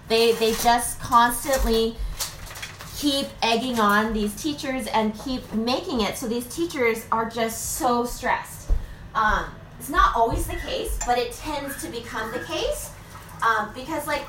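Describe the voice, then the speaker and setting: High bright-voiced woman, rambling freely. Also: expressive, measured pace, reverberant, a little hiss or hum, rough recording. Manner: rambling, many fillers and "right?" tags. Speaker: business owner. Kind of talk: casual reflective monologue.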